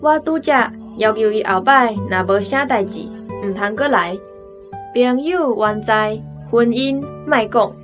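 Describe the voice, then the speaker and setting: A woman's voice: fairly high pitch, unhurried, lightly acted. An actress with a Taiwanese accent playing a frank, chatty young woman in a radio drama. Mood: firm. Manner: direct, talkative. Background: plucked guitar music.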